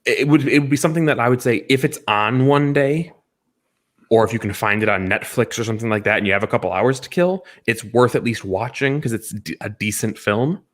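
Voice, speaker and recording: A man's voice: medium pitch, a little fast, a bit bright. A man co-hosting a casual film discussion, giving emphatic opinions and repeating points for stress. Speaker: podcast co-host reviewing films.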